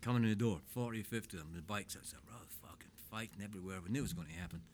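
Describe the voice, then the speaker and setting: A man's voice: lowish voice, rapid-fire. Older man rambling through wild stories. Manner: rambling, animated anecdotes with swearing. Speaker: guest telling his life story. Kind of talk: casual storytelling chat.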